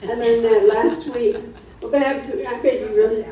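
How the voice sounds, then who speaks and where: A woman's voice: fairly high pitch, fairly even intonation, a little slow, warm tone. Chatty woman moderator in a very echoey room. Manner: chatty, loosely phrased. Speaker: seminar host and panel moderator. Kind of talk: informal hosting, introducing panelists. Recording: very echoey room, distant dull mic.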